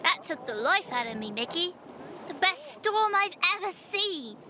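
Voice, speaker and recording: A woman's voice: extremely high pitch, sing-song, unhurried, slight background noise, exaggerated voice. A woman playing a bold, cheerful young girl in an audio drama, breezy and teasing. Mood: excited.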